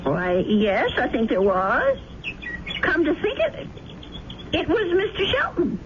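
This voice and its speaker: An elderly, motherly landlady who frets and stubbornly presses her point. Her high voice is extremely animated, in old radio drama style, heard through heavy noise on a muffled broadcast. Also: unhurried, heavily acted. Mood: thoughtful. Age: older. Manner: chatty, fussing, earnest. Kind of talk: 1970s radio drama acting.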